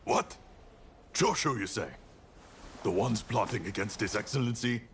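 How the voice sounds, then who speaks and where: An actor playing a stern, loyal samurai in a video game, speaking formally. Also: male voice, lowish voice, expressive, a little slow, slight background noise. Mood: wary. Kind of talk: video game cutscene acting.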